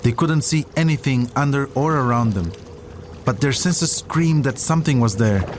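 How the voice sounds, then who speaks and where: A man's voice: medium pitch, moderate pace. Man narrating a documentary over faint background noise. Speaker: podcast narrator.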